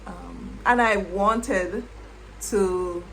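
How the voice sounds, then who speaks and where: A middle-aged woman, a former English teacher, reflecting on her past in an unhurried way and repeating words as she thinks. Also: mid-range voice, a little hiss or hum, rough recording. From Jamaica. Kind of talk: reflective personal storytelling.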